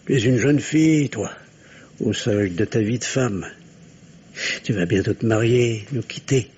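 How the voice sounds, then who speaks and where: An actor in an old radio play, playing a weary, fatherly, middle-aged country doctor with a husky voice and a hesitant manner. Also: mid-range voice, measured pace, a little mellow. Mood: tender. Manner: hesitant, paternal. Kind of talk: old French radio drama acting. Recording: dull, lo-fi old radio recording.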